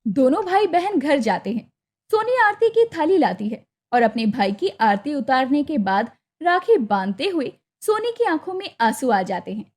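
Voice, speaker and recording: A woman storyteller with a very high voice and lively intonation. She reads scripted narration for a cartoon moral tale. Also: moderate pace.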